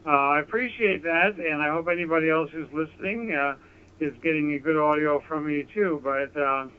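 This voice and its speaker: A man's voice: fairly high pitch, normal speed, smooth. A man chatting as an amateur radio operator, courteous and complimentary, heard over a digital radio link. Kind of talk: casual ham radio contact. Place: apartment, transmitting over radio. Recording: narrow phone-like digital radio audio.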